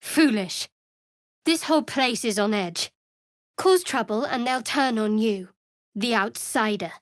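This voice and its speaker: An actress playing a guarded, devout young woman cleric in a video game, with a wry, measured delivery. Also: a little high, lively intonation, dry recording, studio quality. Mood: stern. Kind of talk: video game character voice acting.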